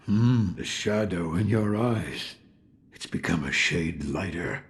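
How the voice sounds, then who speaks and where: An actor playing a gruff, weary old retired shinobi in a video game, with a gravelly voice. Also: male voice, low-pitched, expressive, takes it slowly, heavily acted. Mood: gruff, terse. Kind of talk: dramatic video game dialogue.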